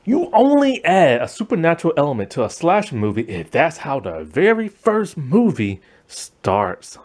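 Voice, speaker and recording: Casual reviewer, man, voice going hoarse and strained, animated and full of fillers. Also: normal speed. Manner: rambling, lots of fillers, animated pitch swings. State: losing his voice, strained. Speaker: movie review channel host. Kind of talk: casual opinion monologue.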